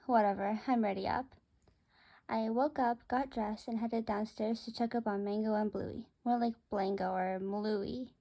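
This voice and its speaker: A woman's voice: fairly high pitch, gravelly, mellow. A woman reading a first-person children's story aloud as its narrator.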